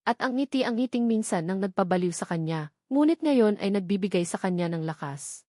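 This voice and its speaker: A woman's voice: medium pitch, lively intonation, fairly quick, warm tone, no echo, clean studio sound. A woman with a Filipino accent reading a scripted, dramatic love-story narration.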